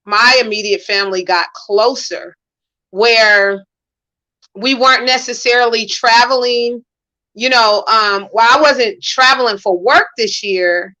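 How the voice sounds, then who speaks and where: A woman's voice: a little high, muffled recording. A woman hosting a casual interview, chatting and laughing often with her guest over a video call. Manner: warm, laughs often, drawn-out speech. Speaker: host.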